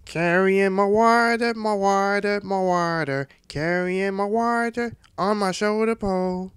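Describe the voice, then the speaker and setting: A man voicing a dim, cheerful young cartoon unicorn pony with a goofy, slow-witted delivery. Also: high voice, a bit bright, smooth, no echo.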